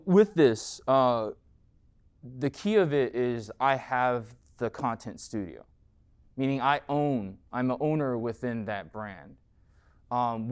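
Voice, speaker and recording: Smooth, conversational American man giving a talk. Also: mid-range voice. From United States. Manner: conversational, peppered with "like" and "right?". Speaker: entrepreneur giving a guest lecture. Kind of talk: informal talk and Q&A.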